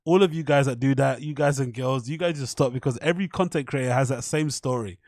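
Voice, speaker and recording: A man's voice: mid-range voice, quick pace, a little mellow, no echo, clean studio sound. A man with a British accent hosting a podcast, rambling casually in slangy, sweary, irreverent commentary as he reads things out and reacts. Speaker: podcast host.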